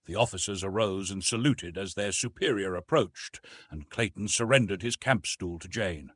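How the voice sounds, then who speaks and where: A man's voice: deep voice, moderate pace, a bit bright, slightly rough, no room sound. A man narrating an adventure novel as an audiobook, reading scripted prose expressively.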